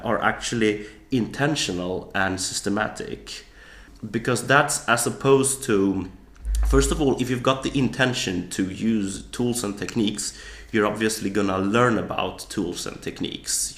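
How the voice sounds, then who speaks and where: A man explaining software testing as a guest in a podcast interview, thoughtful, often restarting his sentences. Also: fairly low pitch, gravelly, roomy sound. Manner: thoughtful, with frequent restarts and fillers. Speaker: guest author.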